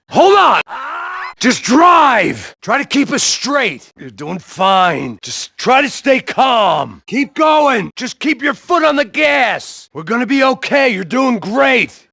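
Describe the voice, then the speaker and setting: An actor playing a world-weary, self-loathing middle-aged ex-cop, giving sardonic, deadpan noir narration for a video game. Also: male voice, a little high, creaky, breathy edge, dry recording, studio quality, exaggerated voice. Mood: urgent, shouting. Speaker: game protagonist. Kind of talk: hardboiled video game inner monologue.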